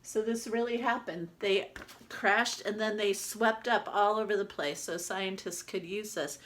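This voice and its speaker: Bright-voiced woman reading a picture book aloud. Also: medium pitch, steady tone, unhurried. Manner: teacherly, prompting listeners with questions. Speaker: storybook reader. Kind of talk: expressive read-aloud of a picture book with asides to children.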